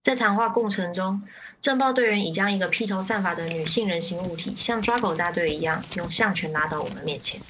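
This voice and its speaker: A woman's voice: medium pitch, brisk, noisy background, close to an everyday voice. A woman playing a jaded riot-squad member who narrates a grim radio drama in the first person with detachment. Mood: matter-of-fact.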